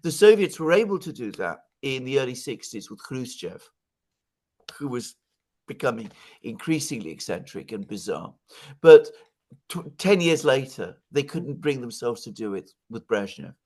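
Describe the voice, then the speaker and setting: A man's voice: medium pitch, sing-song, normal speed, darkish tone. A man giving conversational political analysis as a guest commentator, speaking emphatically and repeating words for stress.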